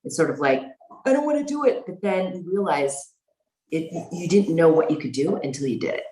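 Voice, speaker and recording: A woman's voice: low-pitched, expressive, brisk, warm tone, a little hiss or hum. A woman speaking conversationally as an interview guest, representing her organization, with a roomy sound around her. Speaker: interview guest, executive director.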